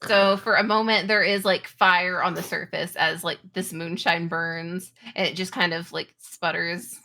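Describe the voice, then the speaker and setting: Bright-voiced woman game master. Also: on the low side, fairly even intonation. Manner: halting, improvised narration peppered with "like". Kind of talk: narrating a tabletop roleplaying game.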